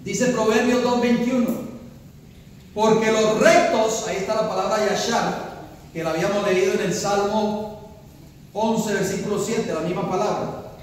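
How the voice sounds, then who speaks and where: A man preaches a sermon, his voice ringing through a large hall with long reverb. He sits high in pitch and heard from a distance, swelling to emphatic peaks and quizzing his listeners. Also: fairly even intonation, measured pace, a little hiss or hum. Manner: preaching with rising emphasis, asks the congregation questions. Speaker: pastor. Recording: echoey hall, voice from far off.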